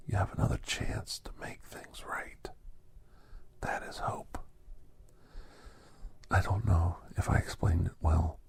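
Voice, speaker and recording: A man performs a gentle, solemn doctor telling an ASMR holiday tale in a low, very breathy whisper. The delivery is slow and reverent, with long pauses between phrases and a heavy gravelly crackle underneath. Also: no room sound, exaggerated voice. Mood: solemn, hopeful. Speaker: narrator and storyteller.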